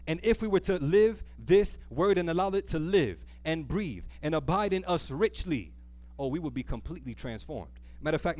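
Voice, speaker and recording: A man with a somewhat high-pitched voice preaches to a church congregation. He is emphatic and impassioned, repeating phrases and stretching out key words. Rhetorical questions punctuate his delivery. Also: a little mellow. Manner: emphatic, repetitive, drawn-out stress on key words. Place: church, live before a congregation.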